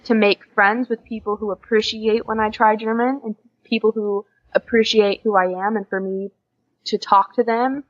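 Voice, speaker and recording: A woman's voice: medium pitch, flat delivery, normal speed. Young american woman chatting on a podcast, earnest and encouraging, kinda muffled lo-fi audio. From United States. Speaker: podcast guest, exchange student. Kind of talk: casual conversational interview. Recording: dull lo-fi podcast recording.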